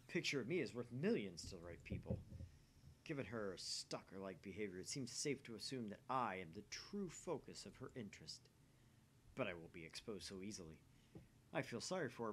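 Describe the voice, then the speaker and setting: A man's voice: on the low side, a bit bright, slight background noise. A man voicing a paranoid, grandiose young mad scientist, reading a visual novel aloud in a pompous, theatrical manner.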